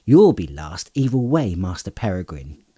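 A brisk, bossy young hobbit fellow with a cheerful lift, as a male narrator voices him. The voice sits low and dark with a husky edge, and it swings lively up and down. The reading is close and dry. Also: full character voice. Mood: cheery, bossy. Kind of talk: audiobook character voice.

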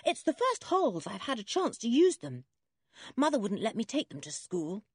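Bold storybook tomboy, acted by a woman: exuberant girl, emphatic, lively raised pitch. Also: normal speed, husky, no echo, clean studio sound, full character voice. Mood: matter-of-fact. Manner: hearty, emphatic.

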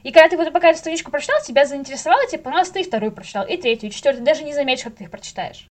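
A young woman chatting casually as a podcast guest, telling things in slangy, animated speech with the odd English word. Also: high voice, rapid-fire. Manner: animated storyteller, acts out little inner dialogues; slangy, drops in English words.

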